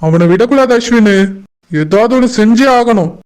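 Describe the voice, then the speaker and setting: A man's voice: extremely high pitch, normal speed, heavy background noise. A man voicing a jealous, hot-tempered young groom-to-be who grumbles insistently in a village cartoon. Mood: angry, insistent. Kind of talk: cartoon voice acting in colloquial dialogue.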